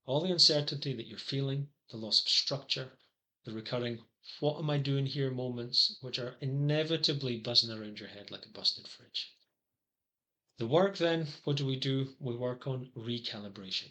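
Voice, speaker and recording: Scottish guy, middle-aged, really bright voice, mid pitch, pitching his consulting w/ dry little jokes. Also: normal speed. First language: English. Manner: wry, dry asides between earnest points. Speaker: psychology consultant. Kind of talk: scripted promotional monologue.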